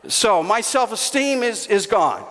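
A lively middle-aged male pastor tells stories from the stage, his fairly high voice leaping up and down as he gestures. He speaks into a headset mic, and his words ring out with a long hall reverb. Now and then he breaks into raised, shouting bursts. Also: a little slow. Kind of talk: storytelling sermon. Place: church stage before a congregation.

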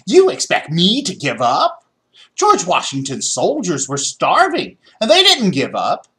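A man's voice: high voice, huge pitch swings, a little slow, pitched above the performer's own voice, exaggerated voice. A man voicing a stubborn, indignant young boy in a storybook, with an emphatic manner. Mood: angry, indignant. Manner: shouting, emphatic.

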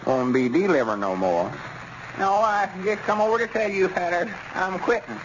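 A proud, cranky old country grandpap in vintage radio comedy. The voice is pitched high and stubborn, with a folksy rural Arkansas accent. The recording is dull and noisy. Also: male voice, animated, moderate pace. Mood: matter-of-fact. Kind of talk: 1930s-40s radio comedy acting.

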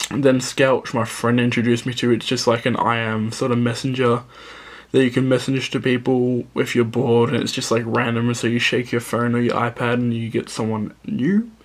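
Croaky young man showing his tablet. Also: mid-range voice. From Australia. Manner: rambling, self-correcting, apologetic. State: croaky voice from a cold. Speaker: app reviewer. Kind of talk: casual app walkthrough. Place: dim room indoors, filming a tablet screen.

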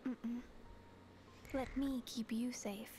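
An actress playing a gentle, devoted teenage girl in a video game drama. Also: fairly high pitch, steady tone, slow pace, noisy background. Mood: tender.

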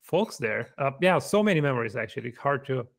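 A man's voice: mid-range voice, sing-song, brisk, creaky. A man in his thirties with a Bulgarian accent, answering interview questions thoughtfully over a video call from his living room. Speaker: guest software engineer. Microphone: desk microphone on video call.

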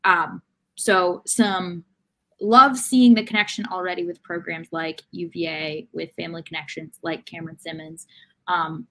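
A woman's voice: on the low side, fairly even intonation, measured pace, pushed and bright, low-quality recording. A young woman co-hosting a casual sports chat from a room at home on a video call. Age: young adult. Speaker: sports podcast co-host.

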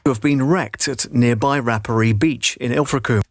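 A middle-aged man narrates a promotional script as a measured storyteller. His pitch is average for a man. The recording is close and dry, as from a voice-over booth. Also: dry recording. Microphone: close booth microphone.

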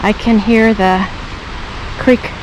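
A middle-aged woman talking casually to a handheld camera outdoors, giving relaxed, informative tips on hiking spots and road stops. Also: medium pitch, slow, slight background noise, poor audio. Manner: relaxed, informative tour-guide talk. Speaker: hiking vlogger. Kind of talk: casual travel vlog narration. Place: outdoors by roads, parking lots and trails. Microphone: handheld camera at arm's length.

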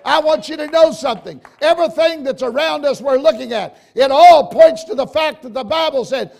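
An older man with a gravelly, raspy voice, preaching a folksy, emphatic sermon to his congregation. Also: very high-pitched. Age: older, 60s or 70s. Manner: emphatic, folksy, addressing listeners directly with questions. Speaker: preacher, church bishop. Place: church sanctuary, at the pulpit.

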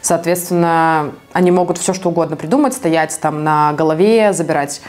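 A woman from Belarus with a slightly low voice speaks as an interviewee. Her answers are conversational and somewhat halting, as she works out her thoughts while talking. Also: a little mellow. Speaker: activist interviewee.

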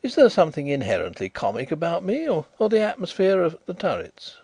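A man voicing a composed gentleman detective who speaks in a measured, detached way. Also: fairly high pitch, sing-song, gravelly, breathy edge, no echo, voice pushed up, heavily acted.

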